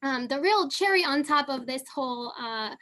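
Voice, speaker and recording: A woman's voice: high voice, expressive, normal speed, bright, forceful tone. A young woman from Argentina with a Southern California accent, telling her story as a panelist in a casual way, with many fillers. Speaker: panelist, geochemist. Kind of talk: online panel talk.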